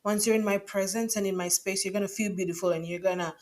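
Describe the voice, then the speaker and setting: A woman's voice: medium pitch, fairly even intonation, quick pace, darkish tone. A woman hosting a podcast interview, talking in an emphatic, upbeat and affirming way. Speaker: podcast host. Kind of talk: casual interview talk.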